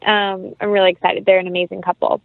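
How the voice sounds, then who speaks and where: A dry, narrow phone-like call carries a woman's voice at an average pitch. She talks as an actress being interviewed, chatty and informal, peppering her speech with casual fillers. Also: little pitch movement, fast talker, gravelly, dry recording. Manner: chatty, informal, uses "like" and "you know" often.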